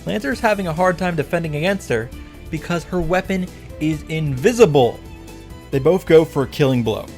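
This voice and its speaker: Man, lightly high-pitched, scripted comedic commentary, mock-grandiose, profane, wry, backing music. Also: expressive, normal speed, darkish tone. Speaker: visual novel reactor and narrator. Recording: background music under the voice.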